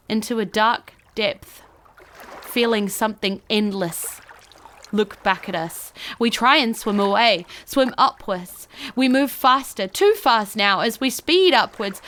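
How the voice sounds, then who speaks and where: A woman narrating an audio drama in a measured, ominous storytelling manner. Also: a little high, pushed and bright, a little hiss or hum. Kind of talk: slow, dramatic scripted narration.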